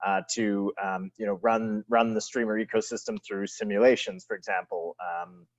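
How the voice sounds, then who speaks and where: A man's voice: on the low side, steady tone, moderate pace, no room sound. A man moderating a technical discussion in a conversational style. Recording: dull, lo-fi call audio.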